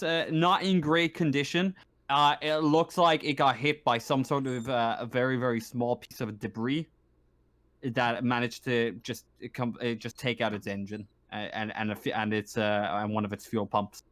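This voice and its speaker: Halting man running a tabletop game. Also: mid-range voice, flat delivery, fairly bright tone. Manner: halting, repeats phrases while working out descriptions. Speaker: game master. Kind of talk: improvised tabletop game narration.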